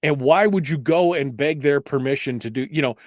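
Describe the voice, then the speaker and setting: A man's voice: on the high side, a little fast, no echo, clean studio sound. A male talk radio host speaking live in an emphatic, conversational, rambling way, giving his opinions and asking rhetorical questions.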